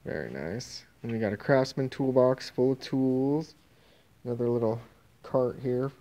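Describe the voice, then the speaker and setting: A man's voice: medium pitch, measured pace, mellow. A man casually walking through a warehouse with a phone camera, listing auction items in an offhand way that trails off mid-phrase. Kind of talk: casual walkthrough narration. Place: indoor warehouse and tent. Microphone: handheld phone camera.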